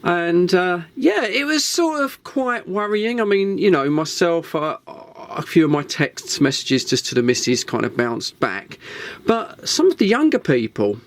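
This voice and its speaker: Man, casual monologue, rambling, hesitant restarts, fairly high voice, lively swooping pitch. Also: unhurried. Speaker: CB radio vlogger. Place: home shed.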